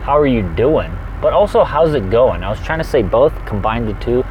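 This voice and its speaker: A man's voice: mid-range voice, dull audio, noisy background. A man who drives a truck for a living, chatting energetically on a livestream and reading out viewer comments. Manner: energetic, chatty, fast-talking.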